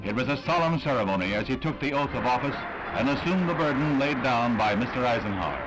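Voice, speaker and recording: A man's voice: medium pitch, animated, quick pace, low-quality recording. An American man reading scripted 1960s newsreel narration in a dramatic, solemn, declamatory manner, on a vintage film soundtrack. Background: orchestral newsreel music.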